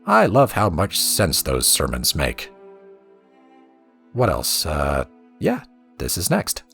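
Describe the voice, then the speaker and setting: Male game storyteller, bottom-register voice with a fry edge and animated intonation, reading lore books aloud. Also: rumbling low, slow, over music.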